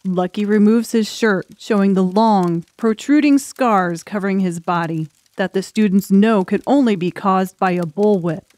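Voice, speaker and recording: A woman's voice: mid-range voice, moderate pace, few pauses, a little mellow, dry recording. A woman reading scripted story narration as an audiobook narrator.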